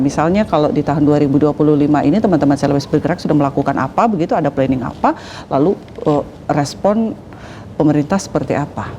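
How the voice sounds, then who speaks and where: Indonesian interview host, mellow relaxed voice, polite, wordy questions, some background noise. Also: medium pitch. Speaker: TV talk show host. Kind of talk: interview with guests.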